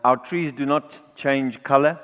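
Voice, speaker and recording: A man's voice: mid-range voice, fairly even intonation. Man preaching in a reverberant hall. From South Africa. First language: not English. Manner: warm, halting, with fillers and self-corrections. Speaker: guest preacher. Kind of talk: conference sermon with live interpretation. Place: church hall.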